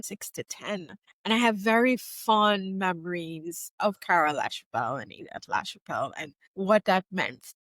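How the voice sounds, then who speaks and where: A woman from Trinidad hosting a podcast, speaking in a measured, earnest way. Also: on the low side, a bit bright, dry recording. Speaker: podcast host. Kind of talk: scripted introduction then casual conversation.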